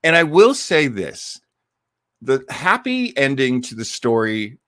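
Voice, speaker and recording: A man's voice: mid-range voice, big pitch swings, takes it slowly, fairly bright tone, no echo, studio quality. A man speaking as a host in casual, enthusiastic and digressive commentary to his live audience, with lightly dramatic emphasis. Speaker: host of an old-time radio listening party.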